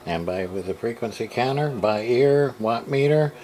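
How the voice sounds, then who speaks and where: A man's voice: mid-range voice, expressive, normal speed, darkish tone. A tired man casually showing off an old radio at his home workshop desk, thinking aloud with many fillers and restarts. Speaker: hobbyist radio repairer. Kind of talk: casual workbench show-and-tell.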